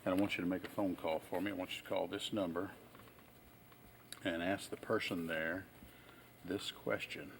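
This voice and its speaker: A man playing a patient, shrewd small-town sheriff in a film, halting and folksy in a deadpan, polite way. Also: low-pitched, vocal fry, a little hiss or hum. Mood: calm, probing. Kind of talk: naturalistic film acting.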